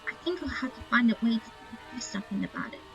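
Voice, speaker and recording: Muffled, high-pitched woman gamer. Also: lively intonation, fast talker, a bit bright. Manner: thinks aloud in short musing remarks. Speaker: gamer recording a playthrough. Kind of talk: casual game commentary. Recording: muffled lo-fi game capture. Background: game music.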